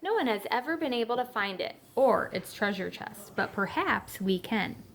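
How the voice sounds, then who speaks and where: An excitable woman librarian as a reader puts her on, dramatic and helpful in a storybook style. Some noise is audible behind her. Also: a little high, moderate pace, gravelly. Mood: intrigued. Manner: exclaiming, dramatic. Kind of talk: children's storybook read-aloud.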